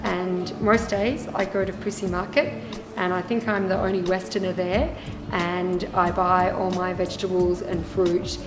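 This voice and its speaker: A woman's voice: fairly low pitch, flat delivery, a little slow. A woman with an Australian accent, a hospital volunteer speaking reflectively in an interview. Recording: echoey room, music underneath.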